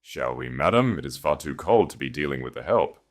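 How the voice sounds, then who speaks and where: A man plays a silver-haired male tycoon of sixty in a romance audiobook. He sounds deep and gravelly, ruthless and used to being obeyed. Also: expressive, fairly quick. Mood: haughty courtesy.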